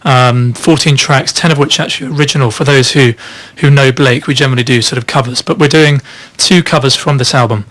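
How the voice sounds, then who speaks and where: A man, a singer in a vocal group with a slightly husky voice today, talking upbeat as a radio guest. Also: mid-range voice, steady tone, fairly quick, hardly any pauses, fairly bright tone. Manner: upbeat, promotional. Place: radio studio.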